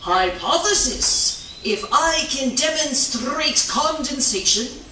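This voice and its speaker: A pompous heron scientist puppet, declaiming slowly and grandly like a stage lecturer. The theatrical voice echoes through a room, picked up from a distance with clear noise. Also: high-pitched, expressive, pushed and bright, exaggerated voice. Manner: pompous, declamatory, announces each step. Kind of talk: children's puppet show acting.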